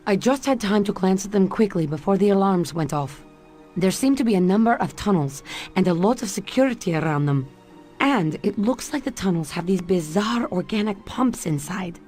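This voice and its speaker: A woman's voice: mid-range voice, animated, normal speed, a little mellow, soft background music, natural voice. Actress as a cool Russian female secret agent. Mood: businesslike. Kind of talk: video game cutscene acting.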